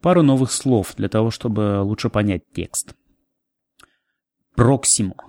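A man's voice: lowish voice, takes it slowly, darkish tone, dry recording, studio quality. A man narrating a language lesson as the teacher. He explains new words, then repeats and translates them.

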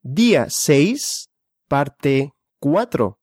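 A speaker leading a question-and-answer language drill. The speaker asks each question, then answers it deliberately. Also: mid-range voice, sing-song, a little mellow, husky, no room sound, clean studio sound.

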